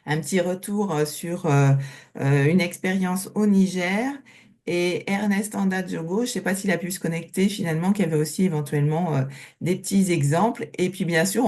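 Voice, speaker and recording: Woman host on a video call, kinda low voice, lots of pauses. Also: a little mellow. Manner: hesitant, frequent pauses and fillers. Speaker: webinar moderator. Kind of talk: hosting an online session.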